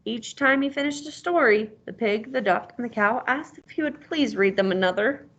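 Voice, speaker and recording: A woman's voice: a little high, moderate pace, fairly bright tone. A woman reading a storybook aloud like a teacher, encouraging and prompting the listener with questions.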